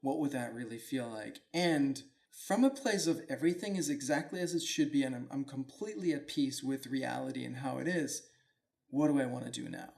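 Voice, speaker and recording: A man's voice: mid-range voice, a little fast, gravelly, darkish tone. A man from Denmark, hosting his own show in an earnest, reflective, casual way. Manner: earnest, reflective, loose with fillers like "like". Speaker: podcast host. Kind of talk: solo motivational talk.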